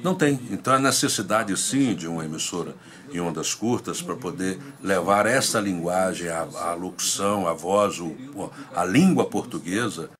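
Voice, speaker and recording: Low-pitched Brazilian radio host. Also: male voice, moderate pace, fairly bright tone. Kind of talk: radio presenting and interview talk.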